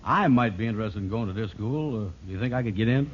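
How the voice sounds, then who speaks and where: Low-voiced gullible radio sitcom dope, acted by man. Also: natural voice. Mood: curious, gullible. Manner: slow-witted, mangles words. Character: slow-witted gullible good-natured man, easily conned. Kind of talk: mid-century radio sitcom acting. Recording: muffled, very noisy vintage radio recording.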